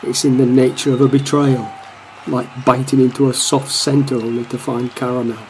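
A man's voice: mid-range voice, level tone, moderate pace, a little mellow, a little hiss or hum, close to an everyday voice, low-quality recording. A man playing a wry, melancholy football fan narrating a radio play. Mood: dramatic, rueful.

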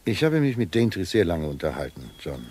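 A low-voiced, shrewd secret-service doctor, dry and sardonic. He is a measured reactionary from a radio play, heard with faint background noise. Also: very animated, a little mellow. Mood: coolly insistent. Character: shrewd, cynical, reactionary male intelligence doctor. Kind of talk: German radio play acting.

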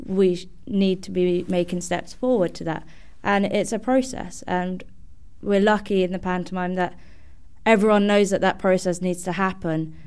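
Clear, smooth young woman, lowish pitch. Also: a little mellow. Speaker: student guest on a podcast. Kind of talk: conversational interview answers.

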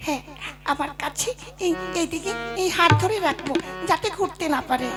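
A woman's voice: very high-pitched, moderate pace. A woman playing a clingy, obstinate second wife in a comic puppet play, repeating herself insistently. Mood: possessive. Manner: repetitive, insistent. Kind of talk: comic Bengali puppet theatre acting. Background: loud accordion music.

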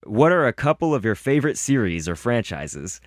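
A man's voice: mid-range voice, very animated, normal speed, no echo, studio quality. A man in his twenties answering casually and a little self-deprecatingly about games he likes. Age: 20s. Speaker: fan voice actor.

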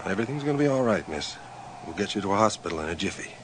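A man's voice: on the low side, expressive, rapid-fire, natural voice. An actor playing a folksy, matter-of-fact rescue man with plain, colloquial speech in a radio horror drama. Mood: reassuring. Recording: very noisy old radio drama recording.

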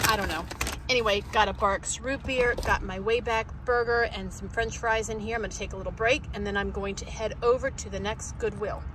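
A woman's voice: mid-range voice, noisy background. A middle-aged woman with an American accent chatting casually to the camera as a vlogger, with playful asides. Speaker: vlogger showing thrift finds.

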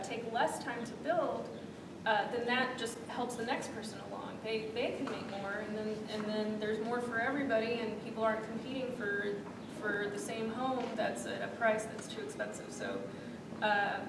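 Woman, 30s, founder guest; thoughtful, halting delivery; distant, roomy office recording. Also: medium pitch, noisy background. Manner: thoughtful, many fillers and restarts. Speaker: startup founder, interview guest. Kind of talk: onstage interview. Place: office meeting room, seated in armchair. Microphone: far room camera. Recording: echoey room audio from far off.

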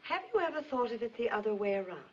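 A worldly, frank wife in a 1950s screen drama, with a fairly high voice. She speaks pointedly and deliberately, each phrase articulate, on a muffled vintage soundtrack. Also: expressive, breathy edge. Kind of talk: 1950s film drama acting. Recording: dull, lo-fi 1950s film soundtrack.

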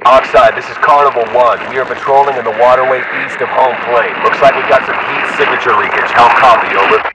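Male actor as soldier, medium pitch, clipped procedural phrasing, military radio effect, loud background noise. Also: steady tone, fast talker. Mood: businesslike. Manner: procedural, matter-of-fact, terse. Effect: military radio filter. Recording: noisy, distant military radio transmission.

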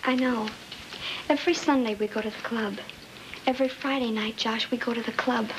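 Casting: actress as young American girlfriend, high voice, defiant, challenging, 1950s movie drama with noisy soundtrack. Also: steady tone, normal speed, close to an everyday voice. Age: young adult. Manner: argumentative, challenging. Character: restless, defiant young girlfriend wanting fun. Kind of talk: 1950s film drama acting. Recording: noisy old film soundtrack.

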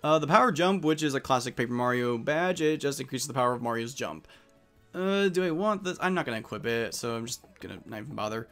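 A man's voice: medium pitch, lively intonation, fast, fairly bright tone, soft background music. A man giving casual, jokey, rambling commentary as host of a game playthrough. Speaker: let's play host.

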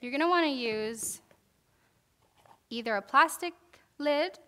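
A woman's voice: high voice, animated, a little slow, bright, forceful tone. A young woman giving an informal herbalism lesson in a church hall, reading from notes and then demonstrating, with many fillers and restarts. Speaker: herbalism class presenter. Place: church hall stage, large indoor room.

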